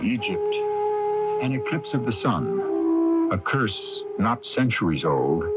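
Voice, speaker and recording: A man hosting a radio mystery series, narrating in a measured, portentous and grandiloquent dramatic style. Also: lowish voice. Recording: dull 1980s AM radio tape, music.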